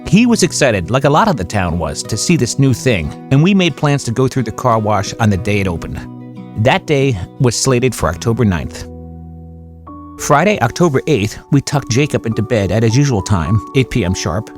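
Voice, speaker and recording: A man playing a small-town husband and father, narrating his memories in a measured, conversational way for a horror audio drama. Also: medium pitch, sing-song, natural voice. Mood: reflective, measured. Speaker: first-person narrator. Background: music.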